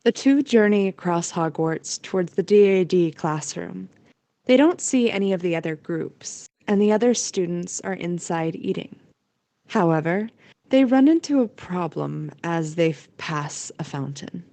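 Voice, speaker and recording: A woman narrates an audio play in a mellow, somewhat low voice. Her scripted storytelling is recorded very dry and close. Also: expressive, measured pace, creaky, dry recording.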